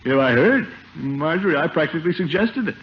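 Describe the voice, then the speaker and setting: An actor playing a pompous, easily flustered middle-aged uncle in a 1950s radio sitcom, blustering and stammering. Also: male voice, a little high, lively intonation, a little fast. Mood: self-satisfied. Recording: muffled, noisy 1950 radio recording.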